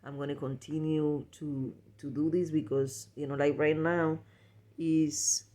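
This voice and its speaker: Male vlogger, voice a touch high, informal and halting, often doubling back on his words. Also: flat delivery, mellow. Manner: halting, repetitive, self-correcting delivery. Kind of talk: casual personal vlog talk.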